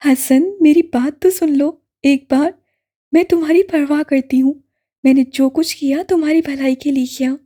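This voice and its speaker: A woman's voice: high-pitched, steady tone, dark tone, natural voice. A woman voicing a young woman pleading with her brother, then crying out and cursing him, in an audiobook. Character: young woman, distraught pleading elder sister. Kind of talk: audiobook character voice.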